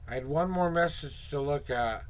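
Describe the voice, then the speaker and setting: A hoarse man hosting a casual tarot livestream, muttering asides to himself and greeting viewers in a loose, chatty way. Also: on the high side. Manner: upbeat, drawn-out greetings to viewers. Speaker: tarot reader and livestream host.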